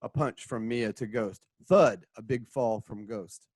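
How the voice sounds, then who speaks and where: A man's voice: fairly low pitch, a little slow, darkish tone, breathy edge, no echo. A man narrating a radio drama as its director, reading out stage directions and voicing sound effects.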